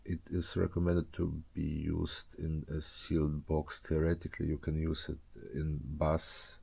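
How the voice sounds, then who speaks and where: A man's voice: very deep voice, completely flat delivery, measured pace, gravelly, dark tone, slightly rough. A man giving a casual, unscripted show-and-tell as a hobbyist presenter, speaking hesitantly and often searching for words.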